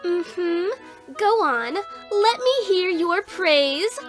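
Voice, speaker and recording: Audio drama, bossy playful sister: very high, slow, exaggerated and teasing. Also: female voice, lively intonation, fairly bright tone, faint music behind, heavily acted. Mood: sly, smug. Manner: theatrical, teasing, sing-song exaggeration.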